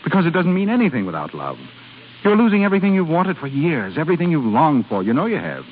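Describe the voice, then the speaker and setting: An actor playing an ardent, possessive suitor in a 1930s radio drama, speaking in an impassioned, insistent, theatrical way. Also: male voice, fairly high pitch, huge pitch swings, fast talker. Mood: urgent, impassioned. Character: ardent, possessive male suitor pleading his love. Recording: tinny crackly 1930s radio broadcast, music behind.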